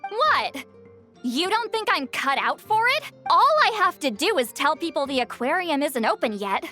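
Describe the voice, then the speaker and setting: An actress voicing a proud former commander who is guarded, wry and quick to get flustered, in video game dialogue. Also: female voice, high voice, sing-song, bright, forceful tone, heavily acted. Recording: game audio over faint music.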